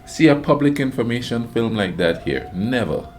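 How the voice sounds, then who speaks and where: A man's voice: mid-range voice, sing-song, moderate pace, darkish tone, a little hiss or hum. A man giving casual reaction commentary as a host, emphatic and repeating words for effect.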